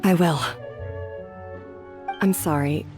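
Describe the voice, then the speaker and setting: An earnest young woman chef in a game, talks slowly and hesitantly in a mid-pitched voice. She sounds plaintive and unsure of herself. Soft music plays behind. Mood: apologetic, unsure. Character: earnest, self-doubting young female head chef. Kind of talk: video game voice acting.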